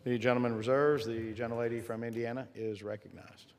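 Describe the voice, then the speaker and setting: A man's voice: medium pitch, fairly even intonation, a little fast, a little mellow. A man presiding over a House floor debate, making formal procedural announcements. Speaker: presiding officer. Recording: long hall reverb.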